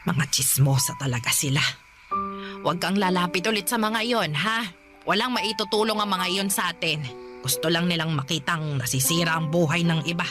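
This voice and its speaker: A woman's voice: lowish voice, moderate pace, music in the background, close to an everyday voice. An actress playing an elderly, worn but protective Filipino grandmother in a Tagalog radio drama, scolding and caring by turns. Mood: soothing, worried.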